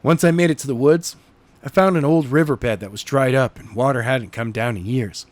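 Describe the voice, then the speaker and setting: A man's voice: medium pitch, moderate pace, darkish tone, no echo. A man working as an amateur narrator and podcast co-host, reading a novel and chatting casually about it.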